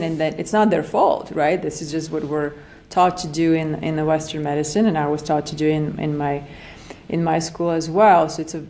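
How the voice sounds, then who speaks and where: A woman's voice: low-pitched, gravelly, a little mellow, a little hiss or hum. A woman of forty-nine with a Slovak accent, explaining earnestly as an interview guest and repeating phrases for emphasis. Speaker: functional medicine practitioner, podcast guest.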